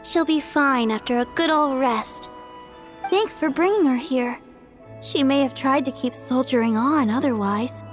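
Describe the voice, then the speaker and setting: A woman voicing a gentle, caring young healer in an anime video game, with a soothing, polite delivery. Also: high voice, unhurried, faint music behind. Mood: gentle concern.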